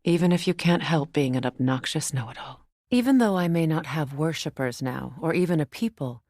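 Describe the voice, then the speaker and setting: A woman playing a proud, wounded warrior goddess and queen in a video game, measured, regal and dry. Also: low-pitched, lively intonation, darkish tone, dry recording. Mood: serious, resolute. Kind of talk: dramatic video game voice acting.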